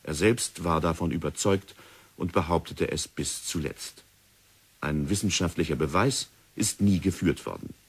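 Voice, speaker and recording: A man narrating a 1960s radio play in a measured, sober storytelling manner. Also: sits low, slightly rough. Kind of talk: scripted documentary narration. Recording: old radio play recording.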